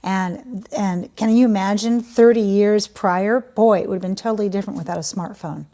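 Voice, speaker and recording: A woman's voice: medium pitch, normal speed. Effusive woman interviewer. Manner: effusive, warm praise, animated. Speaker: talk show host.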